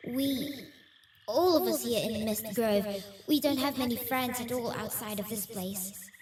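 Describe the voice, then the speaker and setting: A woman's voice: on the high side, unhurried, a crowd in the background, natural voice. A woman playing a worried, pleading female ghost in a fantasy audio roleplay. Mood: earnest. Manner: earnest, pleading. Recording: echoey, distant, with clear background noise.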